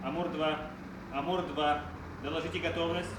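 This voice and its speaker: Actor as a high-voiced, echoing army radio operator. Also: male voice, fairly even intonation, moderate pace, heavy background noise, close to an everyday voice. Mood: brisk, alert. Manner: clipped military call-outs and reports. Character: dutiful young army radio operator, brisk and obedient. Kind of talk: Soviet radio play acting. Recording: echoing, distant, music behind.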